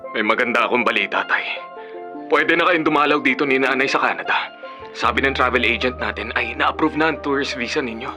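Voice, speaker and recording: A man's voice: medium pitch, fairly quick, over music, close to an everyday voice. A man playing an adult son who is protective of his father and resentful, in a Filipino radio drama. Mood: upbeat, caring.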